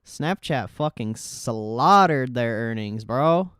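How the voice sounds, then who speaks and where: A man's voice: medium pitch, animated, slow pace, a bit bright, clean tone, no room sound, studio quality. A man hosting a livestream, giving casual market commentary in informal, slangy, sweary speech. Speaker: livestream host.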